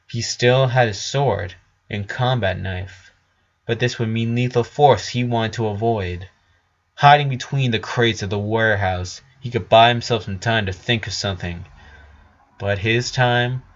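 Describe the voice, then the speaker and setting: A man's voice: on the low side, lo-fi sound. A man narrating scripted fantasy fiction as a storyteller.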